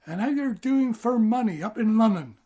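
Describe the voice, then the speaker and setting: A man's voice: sits high, animated, moderate pace, mellow. Actor as a hearty old country merchant father. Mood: fond, jovial. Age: older. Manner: folksy, hearty country speech. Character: hearty, well-off older island merchant, doting father. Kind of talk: audio drama dialogue.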